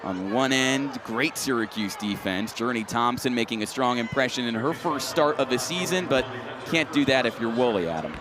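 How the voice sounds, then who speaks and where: A man's voice: mid-range voice, expressive, moderate pace, background noise. A man calling live sports play-by-play in an announcer's delivery that rises on big plays.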